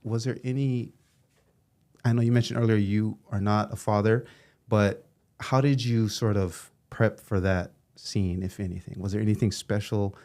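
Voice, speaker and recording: A man with a somewhat low voice hosts a casual podcast conversation. He laughs easily and rambles as he builds up his questions. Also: fairly even intonation, normal speed. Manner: warm, laughs often, long rambling questions. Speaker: podcast host. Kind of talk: casual interview chat.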